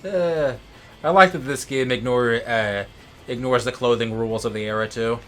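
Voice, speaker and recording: A man's voice: medium pitch, moderate pace, a bit bright. A man from the United States co-hosting a let's play in casual, rambling chat that often restarts its phrases. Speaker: let's play co-host and gamer. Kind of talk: casual gaming chat and commentary.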